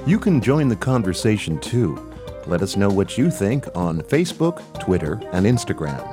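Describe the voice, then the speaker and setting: Male promo announcer, low and animated, with loud music bed behind him. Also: moderate pace. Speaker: show announcer. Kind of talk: promo read over music.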